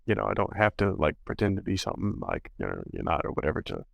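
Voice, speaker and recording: A man's voice: deep voice, very animated, fast, vocal fry, no echo, clean studio sound. A male podcast host chatting casually and rambling, with many fillers. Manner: rambling, full of "you know" and "like". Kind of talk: casual interview chat.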